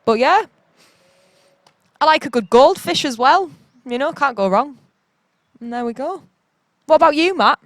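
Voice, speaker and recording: A woman's voice: sits high, animated, measured pace, long pauses, dry recording, clean studio sound. A woman from northeast England, chatting in a rambling, upbeat way outdoors. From Durham, northeast England. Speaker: band member asking questions. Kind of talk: casual chat.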